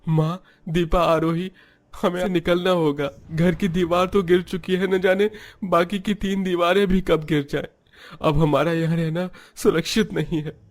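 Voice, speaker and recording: A man's voice: high voice, little pitch movement, unhurried. A man voicing a poor, guilt-ridden labourer father, earnest and sorrowful, in a Hindi cartoon story. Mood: despairing.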